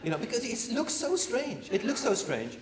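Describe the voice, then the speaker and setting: A man's voice: very high voice, huge pitch swings, normal speed, crowd noise. A man giving a live performance lecture, rambling with many fillers and repeated words and tossing playful asides to the audience. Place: lecture room at an art academy. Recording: echoey room.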